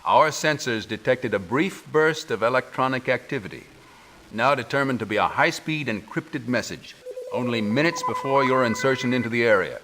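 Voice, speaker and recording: A grave middle-aged male general giving a game mission briefing. He is formal, deliberate and commanding at a medium pitch, with faint noise behind him. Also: sharp tone, low-quality recording. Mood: grave, resolute.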